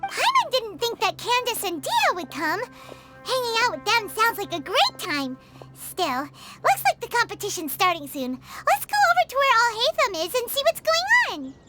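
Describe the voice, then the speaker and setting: Tiny fairy girl, extremely high squeaky voice, wildly swooping, chirpy game sidekick, loud music behind. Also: wildly swooping pitch, normal speed, exaggerated voice. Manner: refers to self in third person, chirpy. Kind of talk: video game voice acting.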